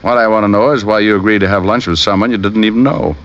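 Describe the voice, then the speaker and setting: An actor playing a dry, wisecracking, probing private detective in old radio drama style. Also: male voice, fairly low pitch, steady tone, fairly bright tone, close to an everyday voice. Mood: probing, suspicious. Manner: dry, questioning, quick with quips. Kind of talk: 1940s-50s radio detective acting. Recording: muffled vintage radio recording.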